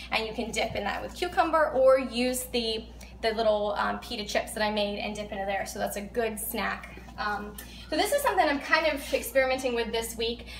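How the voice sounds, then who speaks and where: Woman, 30s, casual cooking vlog, chatty with ums, fairly high voice, roomy kitchen, faint background hum. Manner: chatty, upbeat, many ums while showing food. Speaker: vlog host. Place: home kitchen, indoors.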